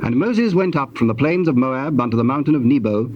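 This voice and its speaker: A man narrating scripture in a solemn, measured, scripted manner. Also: mid-range voice, expressive. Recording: muffled old tape with clear noise.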